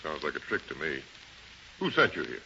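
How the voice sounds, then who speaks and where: An actor playing a shrewd, suspicious gambling-house boss in a 1930s radio drama, American, clipped and authoritative. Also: male voice, extremely low pitch, huge pitch swings, fairly quick, creaky, bright, forceful tone, full character voice. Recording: noisy, dull 1930s radio recording.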